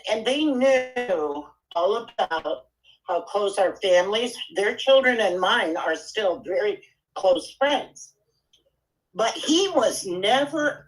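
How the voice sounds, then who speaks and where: Earnest woman guest, unhurried, halting delivery with repeated words. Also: medium pitch, a bit bright, low-quality recording. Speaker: guest speaker on a live audio room. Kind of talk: casual chat.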